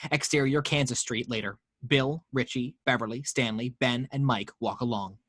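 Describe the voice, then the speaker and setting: A man's voice: mid-range voice, fairly bright tone, slightly rough, no echo. A man narrating the stage directions at a casual home table read. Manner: brisk reading of stage directions. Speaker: narrator reading action lines.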